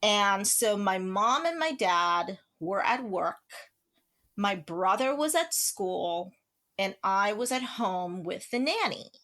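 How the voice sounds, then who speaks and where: A woman's voice: medium pitch, lively intonation, slow, a bit bright. A woman from Trinidad and Tobago, chatting as an author guest on an interview podcast, rambling with lots of fillers and restarts. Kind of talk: casual interview conversation.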